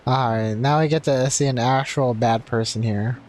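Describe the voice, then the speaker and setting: A woman's voice: very deep voice, fairly even intonation, moderate pace, a little mellow, slight background noise. A woman giving casual gameplay commentary as a streamer, musing and thinking aloud as she goes. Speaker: game streamer. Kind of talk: casual gameplay commentary, reading dialogue choices. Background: game music.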